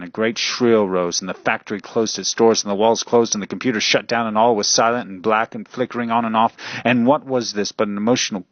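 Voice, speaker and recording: A man's voice: lowish voice, a bit bright, dry recording, highs cut off. A man narrating a fan fiction radio drama in a florid, portentous style.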